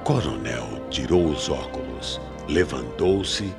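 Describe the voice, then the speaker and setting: Very deep-voiced Brazilian male mystery narrator. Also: expressive, creaky. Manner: measured, suspenseful. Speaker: radio drama narrator. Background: dramatic music.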